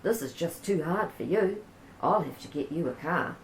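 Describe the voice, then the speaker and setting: A helpful grown son, quick with sensible fixes, speaking in a plain, matter-of-fact way, as a storyteller puts him on. He keeps to everyday talk in this children's storybook reading. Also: a little high, expressive, reverberant, slight background noise, poor audio. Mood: concerned.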